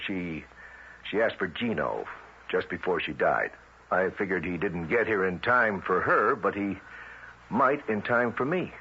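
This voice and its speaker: An actor playing a tough, suspicious federal agent with a brusque, streetwise way of questioning, in 1970s radio drama style. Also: male voice, low voice. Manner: brusque, interrogating, streetwise wisecracking. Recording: dull, noisy vintage radio recording.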